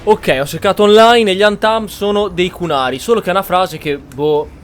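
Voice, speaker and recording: A man's voice: sits high, quick pace. A man giving casual gameplay commentary, musing aloud in an informal way. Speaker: gaming channel host. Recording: faint game music behind.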